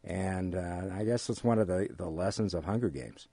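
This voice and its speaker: An older man with an American accent explains things in an interview, haltingly, repeating phrases for emphasis. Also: low voice, dark tone, slightly rough, no echo. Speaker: economics instructor giving an interview.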